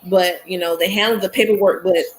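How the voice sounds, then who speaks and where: A woman's voice: lowish voice, fairly even intonation, brisk, a little hiss or hum, rough recording. A woman from Texas in a casual group chat, rambling through a story with lots of fillers.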